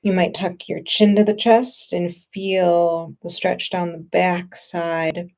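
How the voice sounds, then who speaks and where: A woman leading a guided meditation and gentle movement practice as a teacher, speaking in a gentle, unhurried, reassuring way. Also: fairly low pitch, flat delivery.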